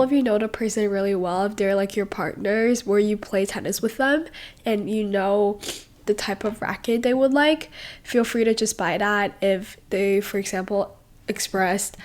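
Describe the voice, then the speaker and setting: A woman's voice: medium pitch, a little mellow. Young woman host, sick with a cold. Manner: rambling, many fillers and pauses. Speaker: podcast host. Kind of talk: casual solo podcast talk.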